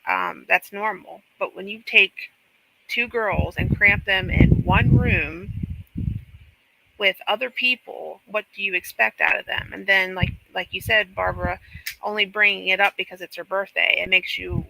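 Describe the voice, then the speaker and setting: A woman's voice: mid-range voice, measured pace, vocal fry. A woman panelist giving critical, emphatic commentary in casual talk after reading out comments. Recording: faint background noise, clicks.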